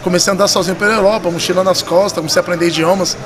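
A man's voice: high-pitched, fairly even intonation, very fast, noisy background. A man in his thirties with a São Paulo Brazilian accent, telling stories casually and animatedly in a podcast interview, slangy and repeating words for emphasis. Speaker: podcast guest, poker dealer and tournament director. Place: poker club room, indoors. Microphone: vintage-style desk microphone. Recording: busy room crowd murmur behind.

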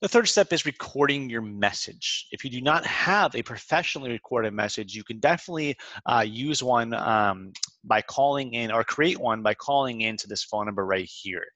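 Male tutorial presenter, medium pitch, informal instructional delivery, semi-scripted, dry voice-over sound. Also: lively intonation, moderate pace, bright, forceful tone, no echo, muffled recording. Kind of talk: walkthrough narration of a screen demo, semi-scripted. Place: close dry voice-over for a screen recording.

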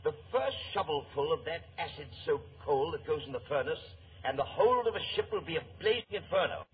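An actor playing a suave saboteur, mockingly courteous and menacing in a 1940s radio mystery. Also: male voice, fairly high pitch, expressive, fairly quick, close to an everyday voice. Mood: gloating. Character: suave, gloating German saboteur villain, menacingly polite. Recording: muffled, noisy 1940s radio recording.

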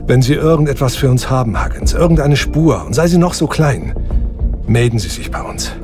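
A man's voice: mid-range voice, expressive, fairly quick, over music, lightly acted. A man playing an earnest young space hero who leads his crew, speaking steadily in an audio drama. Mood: calm. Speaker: spaceship captain.

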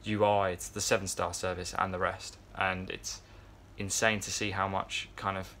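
A man's voice: low voice, little pitch movement, normal speed, pushed and bright, slight background noise. Man rambling casually to his phone. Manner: rambling, many fillers and restarts. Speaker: yacht crew vlogger. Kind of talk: casual vlog chat to camera. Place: yacht crew area, indoors, fan nearby. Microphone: phone camera at arm's length.

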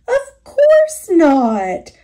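A kindly, obliging moose from a children's storybook, played by a woman. He speaks earnestly and politely, in a high-set, slow delivery with plenty of melodic movement. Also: wildly swooping pitch, mellow, heavily acted. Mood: welcoming. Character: big-hearted, gentle, obliging male moose.

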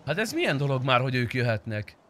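A man's voice: mid-range voice, sing-song, a bit bright. A young man chatting casually while livestreaming a game, blunt and slangy with frequent swearing. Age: young adult. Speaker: game streamer. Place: indoor streaming room.

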